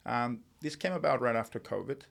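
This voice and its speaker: A middle-aged man from Uruguay, raised in Spain, telling his story as an interview guest in a relaxed way, with many fillers and restarts. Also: mid-range voice, fairly quick, gravelly. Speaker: entrepreneur guest. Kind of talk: podcast interview.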